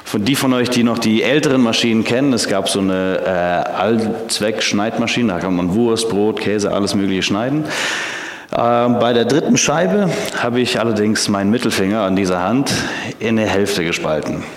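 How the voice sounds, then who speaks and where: A man's voice: lowish voice, moderate pace. A man in his twenties, raised in Canada with English as his first language, preaching a sermon in German with many hesitations. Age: 20s. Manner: hesitant, frequent filler sounds. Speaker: theology student preaching. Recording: echoey hall.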